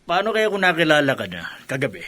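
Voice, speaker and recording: Horror radio drama sidekick: jovial, nosy henchman, bright forceful voice. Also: on the high side, wildly swooping pitch, fast, full character voice. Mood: amused, curious. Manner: jocular, inquisitive. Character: jovial, curious male drinking buddy and henchman.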